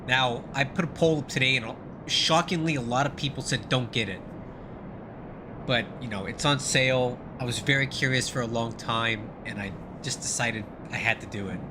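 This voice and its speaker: A man's voice: mid-range voice, normal speed, noisy background. A middle-aged man with a congested, nasal voice as he gets over the flu, chatting casually on a live stream and thanking viewers as he goes. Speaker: flight sim streamer.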